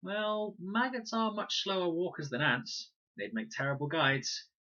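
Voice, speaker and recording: Man voicing an earnest young boy, raised pitch. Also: very animated, unhurried, bright, forceful tone, dull audio, full character voice. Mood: joking. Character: tall, well-meaning, earnest young boy.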